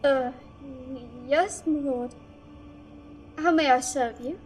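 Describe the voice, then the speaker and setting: A woman's voice: high voice, takes it slowly, a little mellow, faint music behind. A woman playing a nervous, eager, scholarly archive curator in a sci-fi audio drama, stammering and deferential. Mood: startled, nervous. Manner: nervous, stammering, deferential, bossy to her assistant. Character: flustered, eager scholarly female archive curator serving a Sith. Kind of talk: fan audio drama acting.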